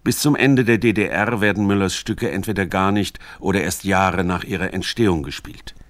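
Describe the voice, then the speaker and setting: A man's voice: low-pitched, moderate pace, no room sound. A man reading scripted narration for a radio documentary. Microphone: close studio microphone.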